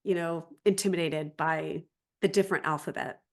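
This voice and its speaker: A woman's voice: sits low, steady tone, moderate pace. A woman speaking as a language teacher and interview guest, explaining conversationally and often circling back over her phrasing.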